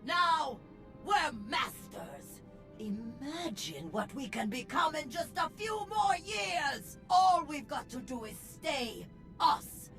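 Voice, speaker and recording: Game role: bold female gang leader, higher voice, very animated, theatrical and deliberate. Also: faint music behind, heavily acted. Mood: rousing, proud. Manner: declamatory, deliberate, rallying. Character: bold, charismatic female gang leader and rabble-rousing revolutionary. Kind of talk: theatrical video game speech.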